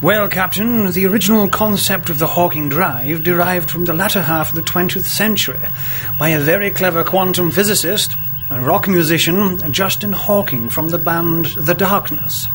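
A man's voice: sits high, moderate pace, a bit bright, soft background music. A man playing a vain, sarcastic executive officer in a comedy radio play, withering and self-important. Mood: matter-of-fact.

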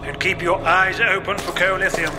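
High-voiced, literal-minded science officer, acted by a man. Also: level tone, background noise, close to an everyday voice. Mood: sober. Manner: measured, matter-of-fact. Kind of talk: comedy radio play acting. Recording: music behind the voice.

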